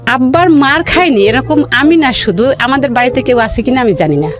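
A woman from rural Bangladesh, telling her own life story in a radio interview, halting now and then and repeating phrases for emphasis. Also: high-pitched, expressive, music in the background.

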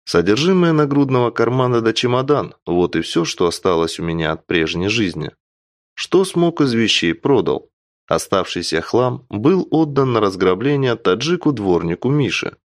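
A man's voice: mid-range voice, big pitch swings, moderate pace, dry recording, studio quality. A man narrating an audiobook in the first person with a wry, theatrical storytelling delivery.

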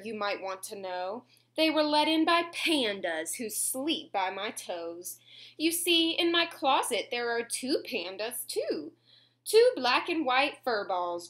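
A woman in her twenties reading a picture book aloud at home, expressively and clearly phrased for young children. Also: fairly high pitch, fairly bright tone. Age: 20s. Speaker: children's story reader. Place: small room at home, indoors.

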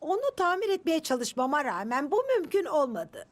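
A woman voicing a kindly, expressive storytelling grandmother in a dubbed children's cartoon. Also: high-pitched, normal speed. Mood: earnest. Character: kindly grandmother, storytelling keeper of a magic locket. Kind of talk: animated children's cartoon dub. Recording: music behind the voice.

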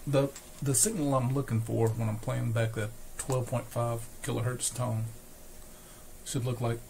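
A man giving an unscripted, hands-on repair demonstration, explaining step by step with hesitant pauses. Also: medium pitch, little pitch movement, vocal fry, darkish tone. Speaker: host, electronics repair demonstrator. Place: small cluttered indoor electronics workbench. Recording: noisy workshop recording with equipment sounds.

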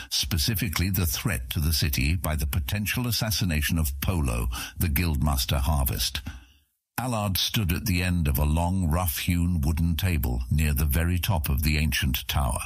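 A man reading scripted fantasy narration as an audiobook narrator, with a deep, husky voice. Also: no room sound.

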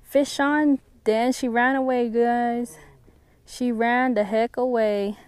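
A woman's voice: fairly high pitch, steady tone, takes it slowly, a little mellow, clean tone. Chatty woman vlogging at night by a lake. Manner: chatty, laughing, talks to viewers. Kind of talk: casual fishing vlog chat. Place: lakeshore outdoors at night.

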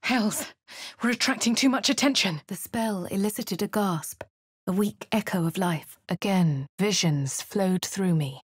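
An actress voicing a posh, haughty female adventurer with a posh English accent, speaking in a cool, measured way in game voice lines. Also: medium pitch, husky, dry recording, studio quality. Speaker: player character. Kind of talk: video game voice lines and narration.

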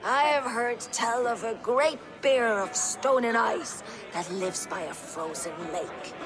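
A woman voicing a solemn, mystical giantess in a video game, speaking in a measured, portentous manner. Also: fairly high pitch, over music. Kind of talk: video game cutscene acting.

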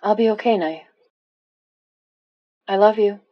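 A woman's voice: medium pitch, a little slow, dull audio, lightly acted. A woman playing a wry, caring paranormal investigator who narrates a horror audio drama in an intimate, conversational way. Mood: tender. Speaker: lead character and narrator.

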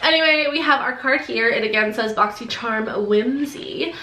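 Young woman beauty vlogger, nasal and a bit congested, bright tone, fairly high, echoey room. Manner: bubbly, gushing, quick asides. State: sore throat, congested. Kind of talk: casual product unboxing to camera. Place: decorated bedroom, indoors.

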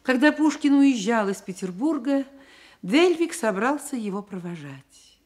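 A woman narrating a literary Soviet radio play in a measured, expressive, reflective way. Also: fairly high pitch. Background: music.

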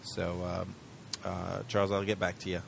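A man hosting a fan podcast, talking loosely and padding his sentences with fillers. His voice sits low with a gravelly edge. A faint noise runs under the recording. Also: monotone, moderate pace. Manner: rambling, many fillers. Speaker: podcast host. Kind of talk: casual chat.

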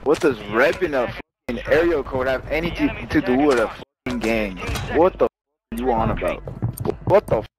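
A man's voice: mid-range voice, animated, a little fast. A man with a Californian accent, combative, profane and slangy in heated online game voice chat. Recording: game voice chat with loud gunfire. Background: gunfire and clicks.

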